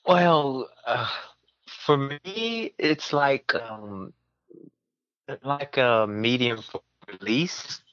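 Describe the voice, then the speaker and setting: A man's voice: mid-range voice, animated, extremely slow, long pauses, fairly bright tone, no room sound. A man talking casually and earnestly as a podcast guest, effusive and heartfelt. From southern United States. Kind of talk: casual heartfelt interview talk. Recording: muffled, band-limited audio.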